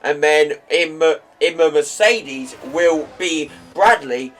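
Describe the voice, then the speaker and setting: A man with a slightly croaky voice commentating a sim racing league, chatty and rambling with fillers and self-corrections. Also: on the high side, takes it slowly, fairly bright tone. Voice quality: slightly hoarse.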